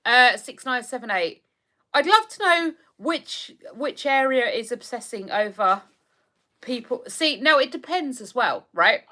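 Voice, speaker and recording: Bright, animated English woman livestreaming. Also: fairly high pitch, unhurried. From England. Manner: chatty, animated, reacts to comments. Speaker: livestream host. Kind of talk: casual chat reading viewer comments.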